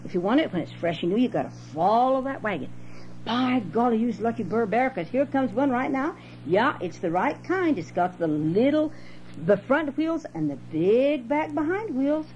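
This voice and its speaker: Woman voicing a high, sly storybook trickster rabbit. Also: sing-song, moderate pace, darkish tone. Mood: excited, persuading. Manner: folksy dialect, persuasive, exclamatory. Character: sly, cheerful male trickster rabbit spinning a scam. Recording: dull, noisy home cassette with hum.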